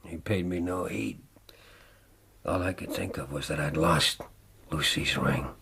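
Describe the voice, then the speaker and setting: A grim, vengeful murderer giving his confession in a radio drama. The voice is extremely low and full of gravel, dramatic and unrepentant, with faint noise behind it. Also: unhurried, bright, forceful tone, exaggerated voice. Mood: grim, brooding. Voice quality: very deep, gravelly.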